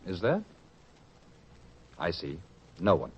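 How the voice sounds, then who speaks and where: A proud, self-assured disciple in an old-fashioned radio drama. His lowish voice swings widely in pitch as he questions and orates. The sound is dull and lo-fi. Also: wildly swooping pitch, slow, breathy edge, exaggerated voice. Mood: earnest questioning. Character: proud, argumentative disciple of a religious master.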